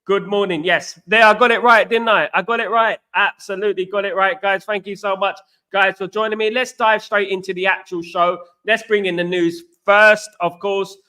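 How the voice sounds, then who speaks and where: A chatty young man from England hosts a casual livestream, greeting viewers by name and repeating his words. His voice sits high and sounds bright and forceful. He keeps a relaxed, talkative flow. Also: normal speed, clean tone. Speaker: host.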